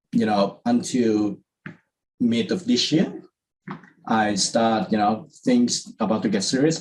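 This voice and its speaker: A man's voice: fairly low pitch, darkish tone, poor audio. A man from Vietnam, a guest in an interview, who talks casually with many fillers and restarts. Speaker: interview guest, property investor.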